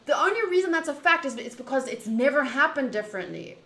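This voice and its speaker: A woman streamer chatting casually to viewers during a game playthrough, in an animated, opinionated and wandering way, often rephrasing mid-thought. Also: high voice, quick pace. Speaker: streamer playing a visual novel. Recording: echoey room, faint background noise.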